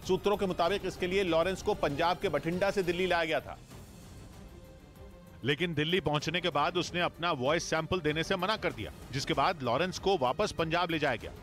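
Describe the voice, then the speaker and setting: Guy reading the news, kinda high voice, formal and steady, soft music underneath. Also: a little fast. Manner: steady, formal newsreader delivery. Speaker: news reader. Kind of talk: scripted news bulletin reading.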